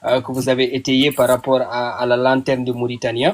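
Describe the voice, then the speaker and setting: A man's voice: mid-range voice, monotone, a little fast. A man hosting an online panel debate, putting audience questions to his guest with frequent hesitations. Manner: hesitant, many fillers. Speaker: panel host, moderator.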